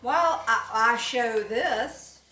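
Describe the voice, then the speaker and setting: A woman with a fairly high, bright voice chats and banters casually in a home vlog. She sounds slightly off the mic in a roomy indoor space. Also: takes it slowly, distant mic. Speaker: co-host of a home unboxing vlog. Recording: roomy echo, off the mic, faint music.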